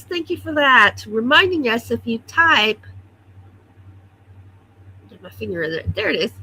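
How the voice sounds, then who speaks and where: A woman's voice: high voice, sharp tone, slightly rough, poor audio. A woman hosting a casual morning livestream from her computer at home, greeting viewers one by one and sounding a little stuffy. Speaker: livestream host. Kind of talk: casual morning chat reading viewer comments.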